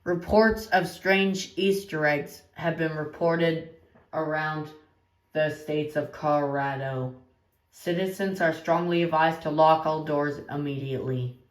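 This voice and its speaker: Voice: mid-range voice, unhurried, reverberant, rough recording. A synthetic-sounding announcer reading a scripted emergency alert in a formal, stilted manner. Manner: formal, stilted warning delivery.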